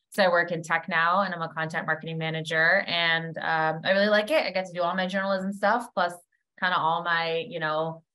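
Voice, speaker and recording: Low-pitched young Canadian woman, casual. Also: sharp tone. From Canada, born in Trinidad. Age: 30s. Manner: informal, peppered with "like". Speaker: podcast guest. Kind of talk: casual chat, personal storytelling. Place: indoor room, video call.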